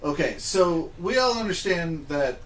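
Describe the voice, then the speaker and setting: Echoey, higher-voiced man hosting casually. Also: expressive, unhurried, a bit bright, a little hiss or hum. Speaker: podcast host. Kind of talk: casual discussion of video game movies. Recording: roomy, echoing room sound.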